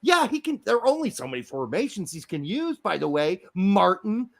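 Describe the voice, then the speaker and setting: A man's voice: high voice, sing-song, moderate pace, gravelly. A man in his fifties with an American accent, raised in Germany, co-hosting a casual chat and ranting with heavy profanity. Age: 50s. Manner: profane ranting, loud outbursts. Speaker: podcast co-host.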